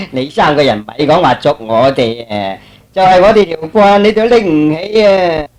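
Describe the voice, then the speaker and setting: A man's voice: a little high, unhurried, pitched below the performer's own voice, close to an everyday voice. A man playing a mischievous, boastful monkey disciple in a Cantonese radio drama, teasing as he talks. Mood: boastful, amused.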